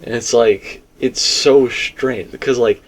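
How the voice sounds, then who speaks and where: A man's voice: medium pitch, fairly even intonation, takes it slowly. A man talking casually and speculatively as a host, emphatic and rambling, often repeating himself. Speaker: video game theorist and host.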